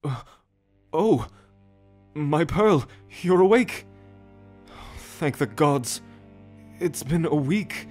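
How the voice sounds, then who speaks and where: A man acting in an ASMR audio roleplay as a doting royal caretaker, hesitant and stammering as he gently reassures. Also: a little high. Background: orchestral string music.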